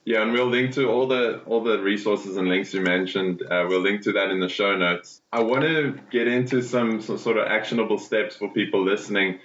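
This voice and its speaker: A man hosting a podcast, asking interview questions in a measured way with small hesitations. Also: fairly low pitch, a bit bright, a little hiss or hum. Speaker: podcast host. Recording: roomy, dull lo-fi sound.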